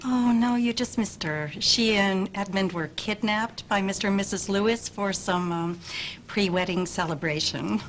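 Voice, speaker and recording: Actress playing a courteous, fussy matron. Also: female voice, mid-range voice, moderate pace, slight background noise, close to an everyday voice. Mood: polite. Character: polite, fussy household matron.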